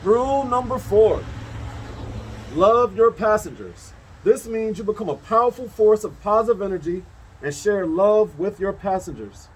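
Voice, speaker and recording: A man with a high-pitched voice reads a children's story aloud. He is expressive and stresses key phrases like a teacher. Faint noise runs through the recording. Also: moderate pace, poor audio.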